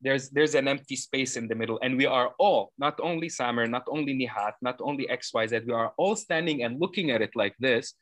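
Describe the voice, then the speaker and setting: A man's voice: mid-range voice, brisk, few pauses, fairly bright tone, dry recording. Earnest man in his thirties, from Lebanon. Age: 30s. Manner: earnest, explains at length with frequent uh fillers and self-corrections. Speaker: program founder interviewed as guest. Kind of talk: conversational interview answers.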